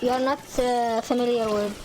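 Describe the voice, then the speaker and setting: Young woman, native Arabic speaker, accented English, slightly high voice, casual halting gamer chat. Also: fairly even intonation, background noise. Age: young adult. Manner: halting, many restarts and fillers. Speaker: gaming channel host. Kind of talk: casual chat over gameplay.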